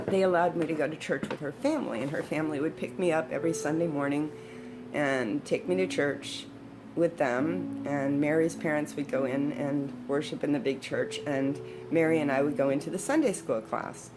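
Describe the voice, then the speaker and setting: A woman in her sixties telling personal stories in a casual, conversational, unhurried way, like a storyteller reminiscing. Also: low-pitched, noisy background. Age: 60s. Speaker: storyteller, video host. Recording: background guitar music. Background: instrumental guitar music.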